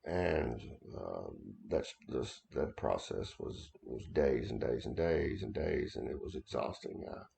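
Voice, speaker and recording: A man in his thirties or older speaks in a very low, heavily gravelly voice. He tells a personal story in a loose, unscripted way, circling back, repeating phrases and pausing on fillers as he feels his way through the account. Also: big pitch swings, darkish tone. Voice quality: very deep gravelly voice. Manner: halting, repeats himself, many restarts and fillers. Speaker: occult author recounting experiences. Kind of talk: casual first-person storytelling.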